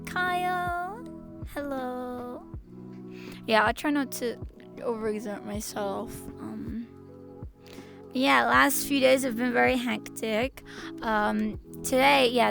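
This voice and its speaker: A woman streamer chatting casually to her viewers, her voice hoarse and raspy, rambling with stop-start restarts. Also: on the high side. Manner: rambling, stop-start, repeats herself, laughs often. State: hoarse, slightly lost voice. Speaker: VTuber streamer.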